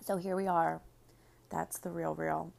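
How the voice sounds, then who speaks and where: A woman hosting a podcast, speaking directly to her listeners in a slightly raspy voice. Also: sits low, level tone, unhurried, darkish tone. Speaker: podcast host and business coach. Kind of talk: podcast monologue, promotional then casual chat.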